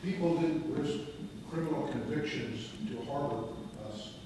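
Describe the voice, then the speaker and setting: A man's voice: fairly high pitch, measured pace, background noise. An older man in the audience of a synagogue sanctuary, speaking emphatically and with feeling into a handheld mic. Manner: impassioned, emphatic. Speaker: audience member. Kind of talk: audience comment at a talk. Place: large synagogue sanctuary, indoors. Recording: echoey hall from far off.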